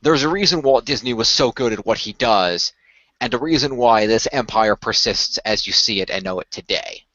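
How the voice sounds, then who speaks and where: A man's voice: medium pitch, animated, normal speed, pushed and bright, dry recording. Male actor as earnest company recruiter, formal and wordy, persuasive, radio roleplay, dry close sound, muffled lo-fi. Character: earnest male company recruiter pitching secret magical project. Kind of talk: improvised radio play roleplay. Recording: dull lo-fi voice recording.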